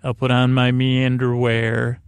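Creaky lulling guy doing a silly rambly sleep podcast, keeps interrupting himself, real dry close sound. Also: mid-range voice, monotone, no room sound. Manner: meandering, halting, self-interrupting. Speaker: host of a bedtime sleep podcast. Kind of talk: rambling, silly bedtime monologue.

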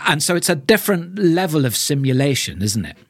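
A man's voice: fairly high pitch, big pitch swings, brisk, dry recording. A man in middle age speaks as a podcast co-host. His delivery is reflective and searching, with stress on key words, and the sound is dry with hardly any room on it. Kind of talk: reflective discussion of audio drama.

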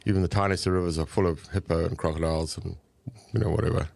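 A man's voice: low voice, steady tone, fast talker, creaky, darkish tone, no room sound. A middle-aged man with a southern African accent, telling stories conversationally as a podcast guest. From Zambia and South Africa. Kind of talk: conversational storytelling.

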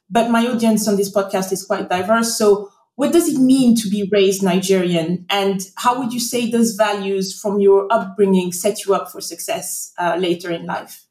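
A woman's voice: mid-range voice, a little mellow. Polished woman podcast host. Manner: polished, scripted introduction. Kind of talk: podcast interview. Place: remote call. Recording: roomy remote recording.

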